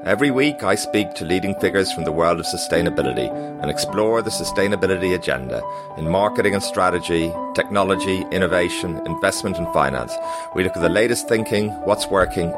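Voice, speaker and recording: A man's voice: low-pitched, lively intonation, brisk, loud music behind the voice. A man hosting an interview podcast, reading introductions and putting questions to his guest.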